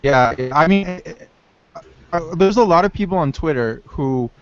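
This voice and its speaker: Brief: thirties male guest, slightly high pitch, stumbling and restarting, dry close sound. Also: lively intonation, unhurried, no echo, dull audio. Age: 30s. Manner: hesitant, restarts often. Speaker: podcast guest. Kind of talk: casual group chat.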